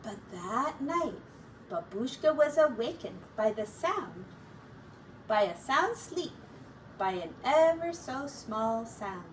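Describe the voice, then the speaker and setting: A woman teacher reading a picture book aloud to young children in an expressive, deliberate storytelling manner. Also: fairly high pitch. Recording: distant mic, faint background noise.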